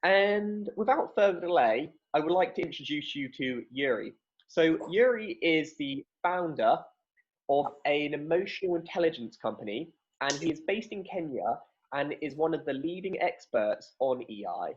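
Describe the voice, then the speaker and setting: A man's voice: fairly high pitch, lively intonation, moderate pace, slightly rough. A man casually hosting an online event over a video call, friendly and informal. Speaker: host. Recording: slightly dull lo-fi sound.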